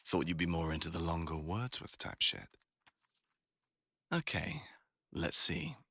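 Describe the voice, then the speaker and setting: A man's voice: low voice, animated, slow pace, bright, forceful tone, husky, dry recording, full character voice. A young man playing a teasing, foul-mouthed college student crush in a casual boyfriend roleplay ASMR. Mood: playful teasing. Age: young adult. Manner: casual, slangy, teasing, sweary.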